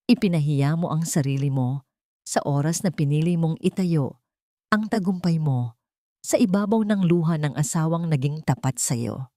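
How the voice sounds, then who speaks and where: A woman with a Filipino accent voicing a betrayed wife who speaks calmly and coldly in an audio storybook drama. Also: sits low, dry recording, studio quality. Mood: judging. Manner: calm, cold, measured. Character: calm, cold betrayed wife, quietly vengeful.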